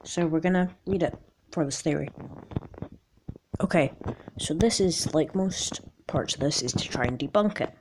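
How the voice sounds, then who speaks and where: A woman with a raspy voice in an amateur, casual video, rambling and reading aloud in a jokey, self-deprecating way with stumbles. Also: low voice, expressive, normal speed, long pauses, darkish tone, a little hiss or hum, poor audio. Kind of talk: casual rambling vlog with articles read aloud.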